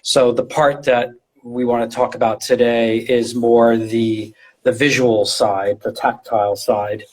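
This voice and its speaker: A middle-aged man with a medium-pitched voice talks as a guest expert in an interview. He explains informally and conversationally. He often repeats a word or two while he works out the phrase. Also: flat delivery, measured pace, darkish tone, low-quality recording. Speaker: guest, retail design and visual merchandising specialist.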